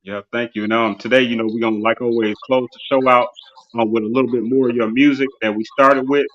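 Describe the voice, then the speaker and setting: A man's voice: medium pitch, fairly even intonation, fast, fairly bright tone. Rambling man in his forties, muffled. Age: 40s. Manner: loose and rambling, heavy with "you know" and "um". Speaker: host of an interview show. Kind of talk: casual live-stream show closing.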